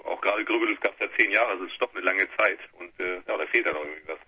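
A man from Hamburg with a rough, husky voice, chatting casually as a band's guitarist on the phone. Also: low voice, very fast, bright, forceful tone. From Hamburg, Germany. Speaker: guitarist and band leader. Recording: muffled telephone interview on radio.

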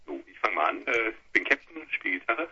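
A man from Hamburg with a rough, husky voice, chatting casually as a band's guitarist on the phone. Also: lowish voice, very animated, moderate pace, sharp tone. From Hamburg, Germany. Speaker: guitarist and band leader. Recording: muffled telephone interview on radio.

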